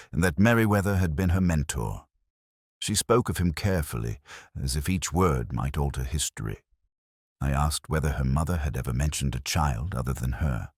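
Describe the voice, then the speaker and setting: A male actor as a brooding inspector with an extremely low voice. He narrates his case for radio drama in solemn, literary phrases, with melodic swings in pitch. The sound is dry and close. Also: no echo, full character voice. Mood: brooding, wistful. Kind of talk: scripted first-person radio drama narration.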